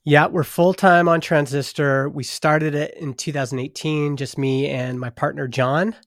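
A man's voice: on the high side, fairly even intonation, a little slow, no echo, studio quality. A man from northern Alberta with a Canadian accent, chatting casually as a guest on a podcast. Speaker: podcast guest, startup founder. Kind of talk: casual interview chat.

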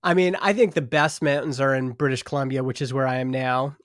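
A man's voice: medium pitch, steady tone, a little fast, a bit bright, no room sound, studio quality. A man from northern Alberta with a Canadian accent, chatting casually as a guest on a podcast. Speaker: podcast guest, startup founder. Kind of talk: casual interview chat.

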